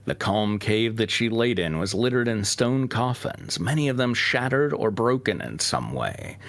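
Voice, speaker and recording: A man's voice: lowish voice, very animated, a little slow, fairly bright tone. A man reading a fantasy story aloud as its narrator. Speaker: fan fiction reader and narrator. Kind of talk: scripted story narration with joking asides.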